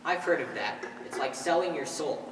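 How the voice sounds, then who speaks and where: A man's voice: a little high, lively intonation, moderate pace, natural voice. A teenage boy playing a grumbling, quipping sidekick comic on trial in a school stage play. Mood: wry, grumbling. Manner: wry, quipping. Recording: echoey school stage hall, distant mic, faint noise.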